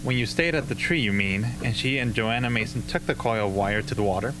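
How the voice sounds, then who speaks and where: Man acting as smooth TV host, probing, sympathetic showman, mid-pitched, measured, radio play, music behind. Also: close to an everyday voice, rough recording. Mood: attentive. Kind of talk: amateur radio drama acting, talk show host.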